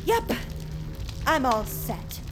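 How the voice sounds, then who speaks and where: A sassy American teenage girl in an audio drama, her voice pitched high over music. She talks in slang with defiant sarcasm. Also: big pitch swings, drawn-out words, exaggerated voice. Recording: music behind the voice.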